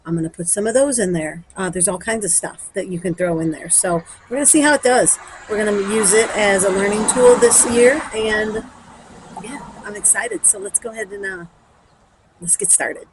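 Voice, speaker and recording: A woman's voice: medium pitch, normal speed, a little hiss or hum, rough recording. A woman chatting casually and thinking aloud as she demonstrates for a garden vlog. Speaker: garden vlogger.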